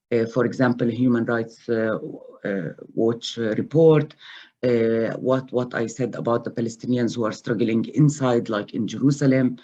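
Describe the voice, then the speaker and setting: A man answering as a panelist in an online webinar, speaking in a measured, hesitant way with many fillers, over a video call. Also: mid-range voice, mellow, highs cut off.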